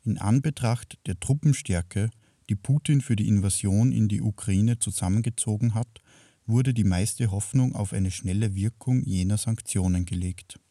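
A man's voice: on the low side, normal speed, warm tone, no room sound, clean studio sound. A man reading scripted news narration as a radio reporter.

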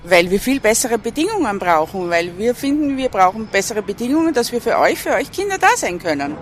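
A woman's voice: a little high, big pitch swings, fairly quick. A woman, explaining her points in a street interview as a staff representative, often rephrasing. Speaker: after-school educator and works council representative. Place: outdoors at a street demonstration. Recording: noisy outdoor street recording with traffic.

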